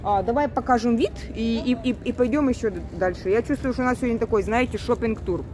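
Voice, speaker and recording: A woman's voice: fairly high pitch, fast. A woman hosting a travel vlog in a casual, chatty, colloquial way, filmed at arm's length on a street. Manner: chatty, colloquial, uses many diminutives. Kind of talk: casual spoken vlog narration. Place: outdoors in an old-town market street. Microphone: handheld camera at arm's length. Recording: noisy outdoor street, handheld recording.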